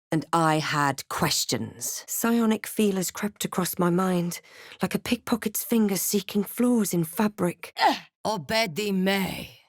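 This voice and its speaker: Low-voiced, wry fantasy adventurer heroine. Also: female voice, dry recording, clean studio sound. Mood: commanding incantation. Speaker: video game player-character voice actor. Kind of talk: scripted game narration and barks.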